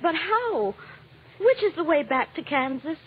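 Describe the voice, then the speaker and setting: A woman's voice: high-pitched, very animated, a little slow, narrow, tinny audio, a little hiss or hum. An actress playing an earnest, anxious young farm girl in a vintage radio drama. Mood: anxious, wondering. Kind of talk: 1930s-50s radio acting. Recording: thin vintage radio broadcast with music.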